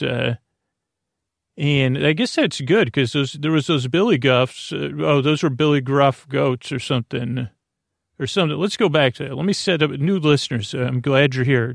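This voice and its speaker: A man's voice: mid-range voice, gravelly, no room sound, studio quality. A man hosting a bedtime podcast, talking in a soothing, lulling way and wandering off on tangents with many filler sounds. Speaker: podcast host. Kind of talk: rambling bedtime podcast intro.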